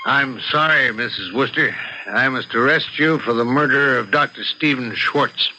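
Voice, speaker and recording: An actor playing a seasoned, blunt police detective making an official arrest in an old-time radio drama. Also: male voice, medium pitch, normal speed, noisy background, natural voice. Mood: firm, blunt. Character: seasoned male police detective, firm, matter-of-fact.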